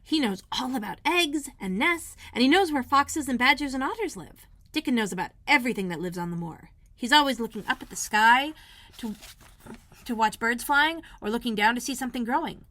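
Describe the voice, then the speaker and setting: A woman voicing an eager, bossy young girl full of wonder in a children's storybook, speaking with animated emphasis. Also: fairly high pitch, brisk, pitched above the performer's own voice. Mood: enthusiastic.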